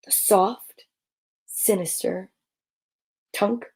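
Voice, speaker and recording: A woman reading a children's picture book aloud in a theatrical, suspenseful, drawn-out way. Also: medium pitch, a little mellow. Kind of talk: dramatic children's story read-aloud.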